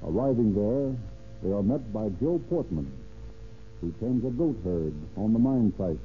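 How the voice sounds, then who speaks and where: A man's voice: on the low side, lively intonation, a little slow, slight background noise. Formal male vintage radio narrator, tinny sound. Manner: formal, declarative. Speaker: announcer and narrator. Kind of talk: 1930s radio scripted narration. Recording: tinny old radio recording, humming.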